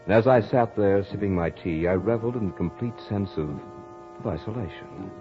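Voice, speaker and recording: A man playing a studious, self-assured young gentleman, telling his story in a measured, literary way in the style of 1940s radio drama. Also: lowish voice, close to an everyday voice. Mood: contented. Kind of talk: 1940s radio drama acting and first-person narration. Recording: dull old radio recording, music behind.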